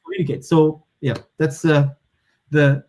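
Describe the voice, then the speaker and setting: Man from Morocco, interview guest, somewhat high voice, reflective, halting restarts. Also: fairly even intonation, a little mellow, a little hiss or hum. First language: Moroccan Arabic and French. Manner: thoughtful, restarts and searches for words. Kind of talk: conversational interview.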